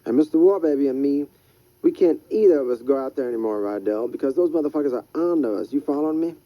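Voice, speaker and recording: A man voicing an excitable, profane street hustler in an audiobook reading. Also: medium pitch, fast talker, dry recording, lo-fi sound, pitched above the performer's own voice, lightly acted. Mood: agitated. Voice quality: profane, heated.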